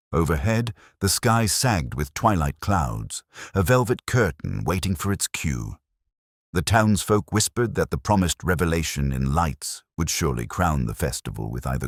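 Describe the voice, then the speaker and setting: Very deep-voiced man narrating a mystery story. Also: slightly rough, no room sound, studio quality. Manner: measured, literary storytelling delivery. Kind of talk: scripted dramatic audiobook narration.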